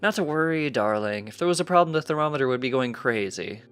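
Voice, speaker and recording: A man's voice: medium pitch, expressive, fast talker, a bit bright, clear, resonant tone, soft background music, pitched above the performer's own voice, lightly acted. A man voicing a distracted, breezy male unicorn scientist, a doting husband in a storybook reading.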